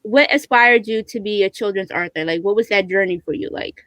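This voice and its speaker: A woman's voice: mid-range voice, a little fast, a bit bright, dry recording. A woman hosting a casual conversational interview, speaking in an encouraging, affirming way. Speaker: interviewer hosting an online read-along.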